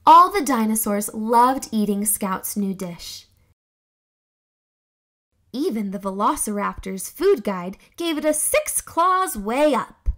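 A young woman reading a children's story aloud in a theatrical, expressive storytelling manner. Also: a little high, slow. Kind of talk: expressive scripted read-aloud narration for children.

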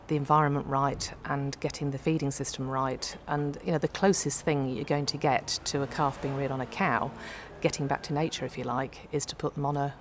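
A woman's voice: low-pitched, slight background noise. A middle-aged woman who works as a calf nutrition specialist, explaining practical advice to an off-camera interviewer, with frequent ums, in an indoor farm feed store. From United Kingdom.

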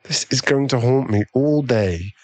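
A man playing a doting, easily swayed millionaire boyfriend in an ASMR roleplay, pleading and halting, with breathy sighs. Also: medium pitch, darkish tone, no room sound, natural voice. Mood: anxious, pleading.

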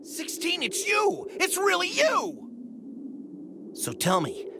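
A man playing an earnest, excitable small martial-arts fighter in a video game, expressive and pleading. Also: very high-pitched, extremely slow, vocal fry, background noise, exaggerated voice. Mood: amazed, hopeful.

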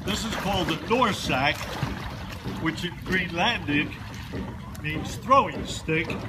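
A man in his seventies explaining kayak rolls slowly and with gentle humor, speaking outdoors on open water and picked up by a distant camera. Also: a little high, lively intonation, heavy background noise. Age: 70s. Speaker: kayak instructor demonstrating rolls. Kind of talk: casual demonstration narration.

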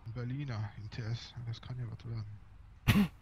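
A man's voice: medium pitch, moderate pace, darkish tone. A man in casual, joking gaming chat over online voice chat. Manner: joking, teasing. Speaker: game streamer. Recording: muffled voice chat with clear background noise.

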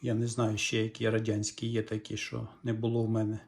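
A middle-aged Ukrainian man in his home workshop, explaining a repair in a calm, musing way with small hesitations, recorded on the camera mic. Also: lowish voice, a little fast, gravelly. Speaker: repair vlogger. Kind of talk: hands-on repair walkthrough.

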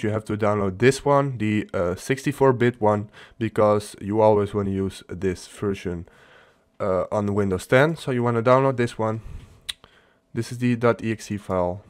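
A man hosts a casual how-to on software in a somewhat low-pitched voice. He explains things one step at a time, with a halting, unscripted delivery. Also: clear, resonant tone. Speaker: tutorial host. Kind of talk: casual software tutorial narration.

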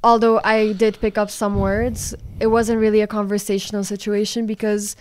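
A woman's voice: mid-range voice, flat delivery, moderate pace, darkish tone, slight background noise. A young woman from Portugal, whose first language is Portuguese, chatting as a podcast guest in a small studio, searching for words with frequent fillers and laughs. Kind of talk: casual chat. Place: small studio room with dark walls. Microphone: desk microphone on boom arm.

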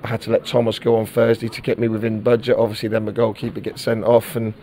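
Candid football manager, repeating himself. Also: male voice, fairly low pitch, completely flat delivery, fast talker, mellow. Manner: candid, repeats words while searching for them. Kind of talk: post-match interview.

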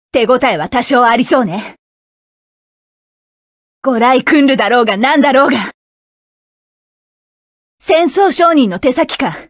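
A woman's voice: high voice, no echo, studio quality. An actress playing a fierce, vengeful young woman mecha pilot, barking clipped, commanding battle calls in a game. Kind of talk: video game combat voice lines.

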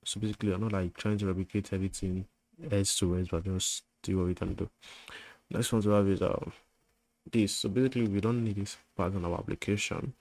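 Low, flat-voiced man walking through code. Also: fairly quick. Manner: talks while typing, thinks aloud with fillers and self-corrections. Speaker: coding tutor. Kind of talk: screen-recorded coding tutorial.

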